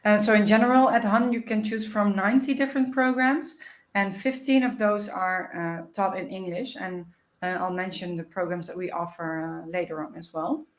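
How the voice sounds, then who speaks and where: A woman hosts an informational webinar and walks listeners through the facts in a friendly, explanatory way. She fills her pauses with "uh" and "um". Her voice sits in the middle of her range and carries a roomy echo. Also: mid-range voice, steady tone. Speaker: webinar host.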